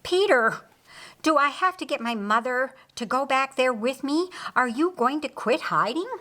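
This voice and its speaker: A woman's voice: high-pitched, animated, normal speed, sharp tone, voice pushed up. A woman narrator voicing a distraught, breathless woman who stammers and repeats her words in an audiobook story. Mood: furious. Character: breathless, distraught young woman pleading urgently, then furious.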